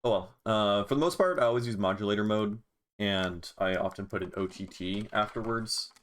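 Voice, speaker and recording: A man with a fairly low voice talks through a music production tutorial. He is casual and offhand, informal in his wording, with the occasional easy swear. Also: moderate pace, slight background noise. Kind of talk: casual screen-recorded software tutorial.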